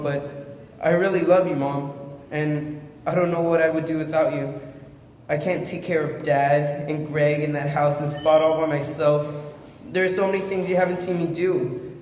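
A man's voice: a little high, darkish tone, natural voice. A man performing a stage monologue as a scared teenager pleading with a dying mother, earnest and tender. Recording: echoey hall, distant mic, faint noise.

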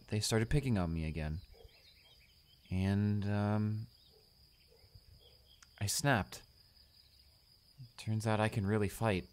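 A young man acting a shy, anxious, bullied high school student in an audio roleplay, stammering and hesitant. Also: a little mellow. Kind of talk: romantic audio roleplay, one-sided dialogue.

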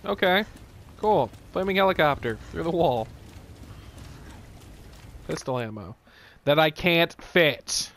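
A man's voice: fairly high pitch, lively intonation, slow pace, pauses often, fairly bright tone, slight background noise. A man doing casual let's play commentary on a video game, swearing and tossing out jokey asides to himself.

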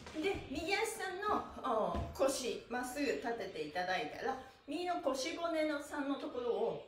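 A woman's voice: high-pitched, a little hiss or hum. A middle-aged woman leading an upbeat stretching class, cheerful and encouraging, with rhythmic sing-song chants. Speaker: stretching instructor. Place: small bare-walled room indoors, on a floor mat. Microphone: camera a few metres away. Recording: roomy echo, distant microphone.

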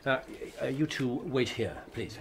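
A cool, incisive British gentleman detective in a radio drama. He is a man of mid-pitched voice, clipped and commanding, with faint noise behind him. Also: expressive, gravelly. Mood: focused, dry. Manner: clipped, brisk, precise. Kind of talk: BBC radio drama acting.

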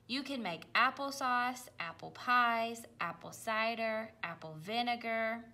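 A woman's voice: a little high, takes it slowly, bright, forceful tone, pitched above the performer's own voice, heavily acted. A woman voicing a practical farm horse in a storybook, instructive and matter-of-fact.

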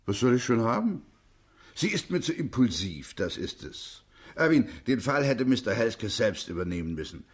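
Radio drama chief clerk: husky, lowish, swooping pitch, blustery pessimist. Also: male voice, sing-song, normal speed. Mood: disapproving. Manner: blustery, disapproving. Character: grumbling, pessimistic male law office chief clerk.

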